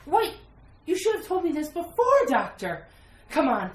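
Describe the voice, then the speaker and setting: A woman's voice: sits high, wildly swooping pitch, a little slow, exaggerated voice. A woman playing a flustered, exasperated sheriff in an old-time radio drama, blustering and exclaiming. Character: flustered, gruffly exasperated small-town sheriff. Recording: echoey room with faint noise.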